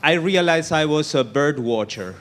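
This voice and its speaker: A man from Argentina doing a stand-up set on a comedy club stage, with a halting delivery full of fillers. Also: on the high side, lively intonation, fairly bright tone.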